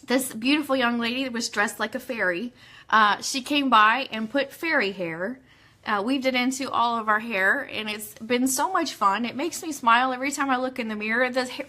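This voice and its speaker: A woman's voice: on the high side, bright, forceful tone. A woman hosting an online radio show in a chatty, bubbly, informal way, moving between news items and greetings to listeners. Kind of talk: chatty livestream hosting with news read-outs. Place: Orlando, Florida.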